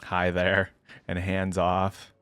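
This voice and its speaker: A man's voice: low voice, monotone, slow, a bit bright, smooth, no room sound, faint music behind. A man hosting a casual reaction to game voice lines, with an amused, easygoing manner. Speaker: host of a game lore reaction channel.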